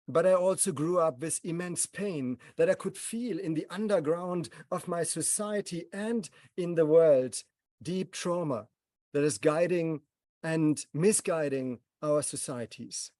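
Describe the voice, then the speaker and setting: Mellow man, slow and solemn. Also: a little high, no room sound, studio quality. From Germany. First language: German. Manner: measured, speaks in short solemn phrases. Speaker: speaker at a gathering. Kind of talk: reflective personal talk.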